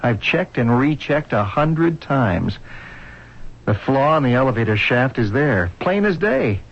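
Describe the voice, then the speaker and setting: An actor playing an anguished, determined man with an urgent, halting manner in a 1970s radio drama. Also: mid-range voice, big pitch swings. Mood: urgent, resolute. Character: anguished, determined man reborn in another man's body. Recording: dull 1970s radio recording.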